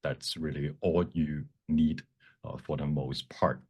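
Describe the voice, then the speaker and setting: A man teaching a live software demonstration, explaining step by step in a halting, self-repeating way and switching between two languages. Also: very deep voice, steady tone, husky, lo-fi sound. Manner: bilingual, switches between English and Cantonese. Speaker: workshop presenter, instructor.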